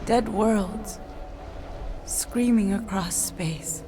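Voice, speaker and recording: A woman's voice: mid-range voice, drawn-out words, background noise. A woman playing a grave, foreboding warrior in a video game, ominous and terse. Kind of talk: video game cutscene acting.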